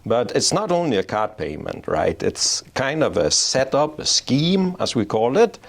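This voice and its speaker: A man's voice: mid-range voice, very animated, unhurried, gravelly. A middle-aged man from Denmark, a payments executive, explaining his field in an interview at his office, often restarting his phrases. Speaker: payments strategy executive, guest. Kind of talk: interview answers. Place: office in Oslo.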